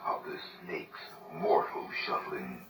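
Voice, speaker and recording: Game villain: deep, whispery serpent god of death, grandiose, distant and echoey with noise behind. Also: male voice, slow pace. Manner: rambling, hissing, whispered pronouncements. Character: grandiose male serpent lord of the dead.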